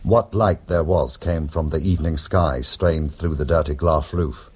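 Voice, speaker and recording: An actor playing a wry, cheeky criminal in a radio drama, who also narrates with sardonic, glib humour. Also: male voice, very deep voice, unhurried, darkish tone, breathy edge, full character voice. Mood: wry, atmospheric.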